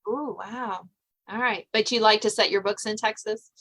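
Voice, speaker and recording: A woman hosting a podcast in a chatty, casual interview style, quick to laugh. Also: mid-range voice, steady tone, fairly bright tone. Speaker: podcast host.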